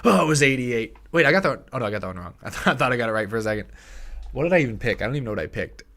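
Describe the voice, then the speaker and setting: A young man in his twenties hosting a casual livestream, thinking aloud and correcting himself, a bit hoarse, on a close studio mic in a small room. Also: mid-range voice, expressive, very fast, a bit bright. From United States. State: slightly hoarse, tickle in throat. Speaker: livestream host. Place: small home streaming room. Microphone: headset and studio mic on arm.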